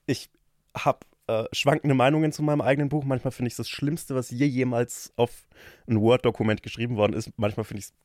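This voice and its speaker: A man's voice: mid-range voice, fairly even intonation, brisk, a little mellow, no echo. A young man from Franconia, a guest on a podcast, chatting casually with dry, self-deprecating humor and many restarts. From Franconia, Germany. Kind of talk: casual chat.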